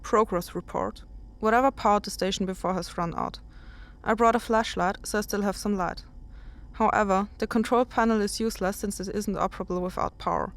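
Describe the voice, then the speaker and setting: A tense female space explorer played by a woman records a halting log as she searches a dead station. Her mid-pitched voice has plenty of creak, in a sober audio drama style. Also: steady tone, natural voice. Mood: uneasy, focused. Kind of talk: audio drama acting, spoken progress log.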